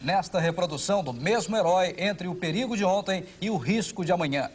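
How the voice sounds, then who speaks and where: A man's voice: sits high, animated, fairly quick, slightly rough. A man with a Brazilian accent reading scripted, poetic documentary narration.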